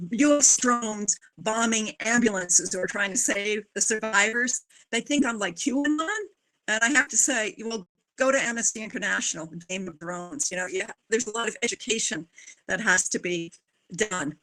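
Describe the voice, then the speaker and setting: A woman's voice: fairly high pitch, bright, forceful tone, rough recording. A woman political candidate speaking earnestly on a video call, with many fillers and restarts. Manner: earnest, conversational, with frequent fillers. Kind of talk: live roundtable discussion.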